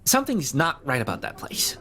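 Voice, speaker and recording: A man's voice: fairly high pitch, wildly swooping pitch, brisk, pushed and bright, husky, heavily acted. An actor playing an anxious, down-on-his-luck young man who stammers and hesitates in an audio drama. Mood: insistent.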